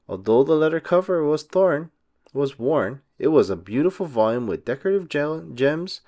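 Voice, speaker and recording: A man giving an expressive, deliberate storybook narration for children. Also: mid-range voice. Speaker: read-aloud narrator for children.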